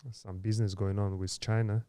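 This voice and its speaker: Guy in his 30s from kazakhstan, kinda low smooth voice, stops and restarts a lot, dry close mic. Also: little pitch movement, dark tone, no room sound. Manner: halting, many fillers and restarts. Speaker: podcast guest. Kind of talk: casual interview storytelling.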